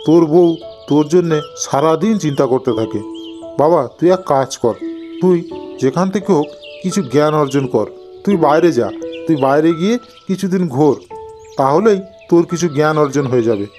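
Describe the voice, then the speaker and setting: An older village patriarch acted by a man in a cartoon folk tale. His voice sits fairly high for a man and he advises in a measured, paternal way, with light music behind him. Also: lively intonation, lightly acted. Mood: gently advising. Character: older village father and sage-like holy man, calm, advising. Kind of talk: Bengali cartoon moral-tale character acting.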